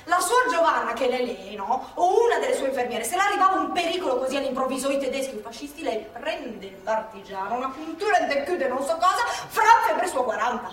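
High-voiced spirited partisan, echoey. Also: female voice, sing-song, brisk, few pauses, fairly bright tone. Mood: confiding, wry. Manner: colloquial, confiding, insistent. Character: spirited, chatty young working-class partisan woman of Milan. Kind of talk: live theatre storytelling. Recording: echoey theatre, distant mic, faint noise.

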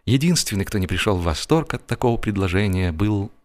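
A man's voice: lowish voice, animated, a little fast, darkish tone. A man narrating a humorous story expressively, in an ironic, mock-serious manner.

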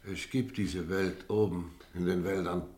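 An actor playing a brooding, daydreaming village innkeeper in a radio drama, narrating in pensive, literary language. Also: male voice, low voice, fairly even intonation, measured pace, a little mellow, husky. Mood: uneasy.

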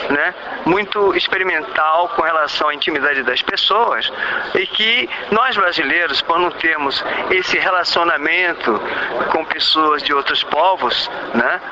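A man hosting a radio program, asking long, rambling questions full of fillers. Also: a little high. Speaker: radio host. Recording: dull radio broadcast with noise.

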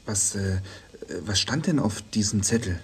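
A man's voice: fairly low pitch, expressive, measured pace, husky, exaggerated voice. An actor playing a polite, puzzled young policeman in a naturalistic radio drama. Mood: shaken, probing. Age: young adult.

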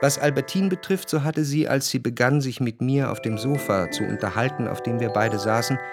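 An actor playing a reflective, jealous gentleman who narrates a literary radio drama in measured, introspective phrases. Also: mid-range voice, over music, close to an everyday voice. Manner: measured, introspective, long formal sentences.

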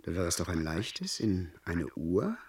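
An actor playing a sly confidant in a German radio play, coaxing and insinuating in a deliberate manner. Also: male voice, low voice, big pitch swings, echoey room, distant mic. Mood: sly scheming. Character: sly, scheming confidant egging a gentleman on.

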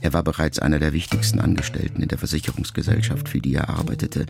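A man reading a literary memoir aloud as an audiobook, in a calm, measured first-person voice. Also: a bass voice, gravelly, music in the background. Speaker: audiobook narrator.

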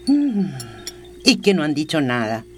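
A woman's voice: low voice, very animated, measured pace, darkish tone, soft background music. An actress playing a kindly, devout old grandmother in a radio soap, motherly and reassuring. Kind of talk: radio soap opera acting.

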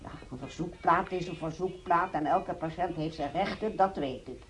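A woman's voice: on the low side, normal speed, close to an everyday voice. An actress playing an indignant female hospital patient in a radio drama, complaining in a whining, sulky way. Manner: whining, sulky, easily offended. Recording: dull lo-fi radio play recording.

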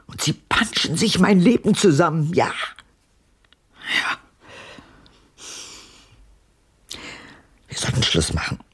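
An actress playing a world-weary, evasive woman in her eighties in a radio drama, halting and brooding, with a husky voice that sinks toward whispers. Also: lowish voice, big pitch swings, heavily acted. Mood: bitter, weary. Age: 80s. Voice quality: husky, breathy, dropping to whispers.

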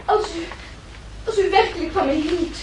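A woman's voice: very high-pitched, moderate pace. A woman playing a desperate young noblewoman in a radio play, with a tremulous, pleading and formal way of speaking. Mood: shaken, indignant. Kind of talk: Dutch radio play acting. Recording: roomy, distant, hissy old radio recording.

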